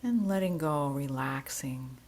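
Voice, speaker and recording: Slow, low-pitched woman guiding relaxation. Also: animated. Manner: soothing, gentle, unhurried instruction. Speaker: yoga nidra teacher. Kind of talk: guided relaxation meditation.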